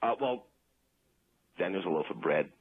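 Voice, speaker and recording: Nervous apologetic dude, deep raspy voice, hesitant, muffled radio comedy sound. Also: male voice, lively intonation, a little fast, no room sound, heavily acted. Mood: sheepish. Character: meek, anxious amateur ventriloquist man caught between two people. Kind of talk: deadpan radio comedy dialogue.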